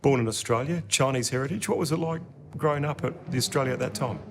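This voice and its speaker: A man's voice: medium pitch, fast talker, noisy background. A man hosting a show, reading polished scripted narration and asking guests questions. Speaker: host. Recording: music playing underneath.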